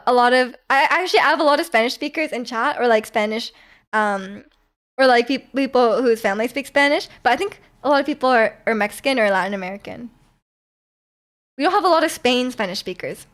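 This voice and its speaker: A woman's voice: fairly high pitch, a bit bright. A young woman, chatting casually on a live stream, giggling as she answers her chat.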